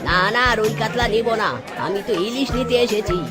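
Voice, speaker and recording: A woman's voice: a little high, fairly quick, close to an everyday voice. Woman doing a picky older cartoon uncle. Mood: demanding. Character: picky older uncle, a male fish buyer. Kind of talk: children's cartoon dubbing. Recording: loud music and crowd behind.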